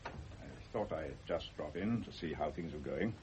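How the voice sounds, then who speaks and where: An actor playing a shrewd, dry, unflappable family doctor in a classic radio drama, measured and pointedly probing. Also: male voice, very deep voice, lively intonation, a little mellow. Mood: wry, concerned. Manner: measured, wry, pointedly philosophical. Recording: muffled, noisy old radio recording.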